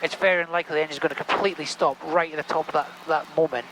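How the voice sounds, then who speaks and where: Man, helicopter instructor, rapid speech, slightly high voice, encouraging, sweary, engine noise on a headset mic. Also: fairly even intonation. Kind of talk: casual in-cockpit instruction. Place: small helicopter cockpit in flight. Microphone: aviation headset. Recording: engine noise over cockpit headset intercom.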